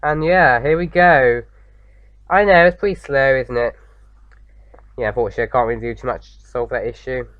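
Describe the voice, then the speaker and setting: A man with a slight sore throat, slightly croaky, chatting casually as he hosts a game playthrough, rambling with lots of fillers. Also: mid-range voice, brisk, pauses often, dry recording, lo-fi sound. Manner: rambling, many fillers and restarts. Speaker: gaming video host. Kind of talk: casual let's-play commentary.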